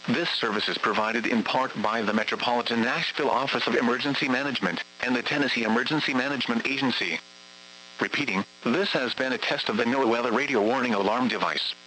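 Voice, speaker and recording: A man reading a scripted weather radio test announcement in a measured, formal way. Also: medium pitch, pushed and bright, slight background noise, rough recording.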